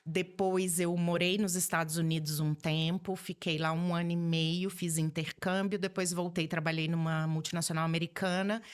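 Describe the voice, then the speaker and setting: Podcast guest: woman, forties, Minas Gerais Brazilian accent, slightly low register, chatty conversational delivery. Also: little pitch movement, normal speed, hardly any pauses, smooth. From Belo Horizonte, Minas Gerais, Brazil. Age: 40s. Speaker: podcast guest, holistic therapist. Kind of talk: casual conversational interview.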